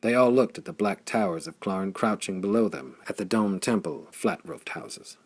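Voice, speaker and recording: Gravelly deep-voiced male audiobook narrator. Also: moderate pace. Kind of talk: audiobook reading of a pulp science-fiction story.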